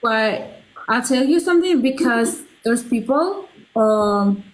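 A woman's voice: fairly high pitch, animated, takes it slowly, low-quality recording. A woman in her twenties, raised in Russia, hosting a casual livestream from a small bedroom through her phone, chatty and rambling with lots of fillers and casual swearing. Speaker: livestream host. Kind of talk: casual live chat. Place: small bedroom with colored lights. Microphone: phone front camera.

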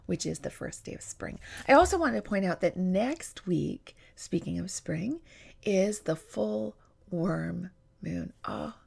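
Woman in her 40s, raspy gravelly voice, chatty encouraging vlog to webcam, mid pitch. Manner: warm, encouraging, animated with hand gestures. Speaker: creative coach and vlogger. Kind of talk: casual direct-to-camera vlog. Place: home studio room with bookshelves and string lights. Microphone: webcam at desk distance.

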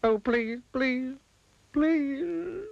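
A man's voice: very high-pitched, drawn-out words, gravelly, dry recording, dull audio, pitched above the performer's own voice, heavily acted. A man doing a stingy, whiny miser. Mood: panicky, pleading. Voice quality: whiny when pleading, curt when refusing. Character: stingy grown man, mean and fretful.

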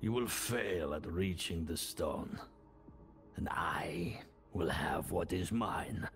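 Casting: menacing game cult leader, low imperious voice, deliberate, over prominent music. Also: male voice, heavily acted. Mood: coldly menacing. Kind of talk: dramatic scripted video game villain. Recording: loud game music behind the voice.

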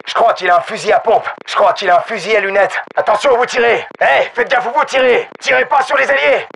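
An actor playing a tense, commanding special forces soldier in a military shooter game, giving short, urgent, clipped combat callouts. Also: male voice, high-pitched, a little fast, gravelly, fairly bright tone, full character voice. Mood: alert, reporting.

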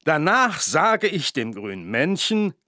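An actor voicing an eager talking pedestrian button in a children's game. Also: male voice, on the high side, sing-song, slow pace, pushed and bright, no room sound, studio quality, full character voice.

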